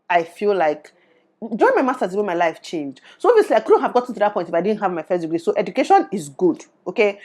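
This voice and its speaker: A young Nigerian woman with a Nigerian accent, giving her opinions in an animated to-camera vlog and slipping into Pidgin. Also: medium pitch. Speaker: YouTuber. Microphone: lapel.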